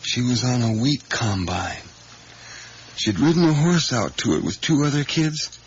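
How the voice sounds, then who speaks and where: An actor in a 1980s radio drama playing an odd, haunted kitchen worker who tells his story in a halting, brooding way. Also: mid-range voice, expressive, a little hiss or hum, close to an everyday voice. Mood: dreamy, haunted. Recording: dull 1980s radio drama recording, music.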